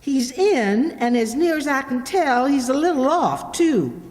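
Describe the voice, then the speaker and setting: A woman's voice: sits high, sing-song, unhurried, darkish tone. A woman playing a prim, wisecracking office receptionist with dry wit in an old-time radio comedy. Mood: prim, wry. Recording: echoey hall, long reverb.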